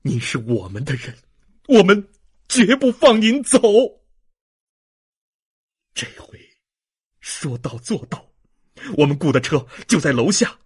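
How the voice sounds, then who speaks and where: A man voicing a passionate young gentleman in an audiobook, emphatic and declamatory, overwhelmed with grateful emotion. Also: a little high, very animated, slow pace, pauses often, husky, exaggerated voice. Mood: insistent.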